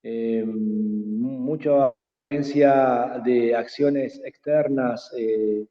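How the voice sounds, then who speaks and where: Guy on a video call, quechua native, talks real slow, thin phone-ish sound. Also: medium pitch, steady tone. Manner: hesitant, many pauses and fillers. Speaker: invited speaker. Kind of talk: slow reflective talk in an online workshop. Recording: tinny phone-like call audio with long reverb.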